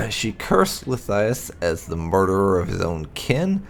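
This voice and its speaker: A man with a gravelly voice reading fantasy game lore aloud in a measured, solemn storytelling manner. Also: low-pitched, big pitch swings, a little hiss or hum. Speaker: gaming channel host.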